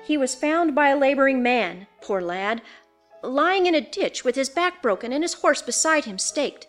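A woman's voice: sits high, lively intonation, normal speed, soft background music, voice pushed up. A woman voicing an anxious, superstitious housekeeper in a ghost story, deferential and exclamatory in a folksy servant's way. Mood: grave, awed. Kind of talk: dramatised audiobook ghost story.

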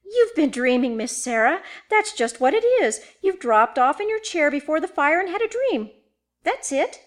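A woman acts out a superstitious, anxious servant woman in a storybook ghost tale. Her voice is high and lifts with emphasis, exclamatory and folksy, always deferential. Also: lively intonation, moderate pace. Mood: insistent. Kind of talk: dramatised audiobook ghost story.

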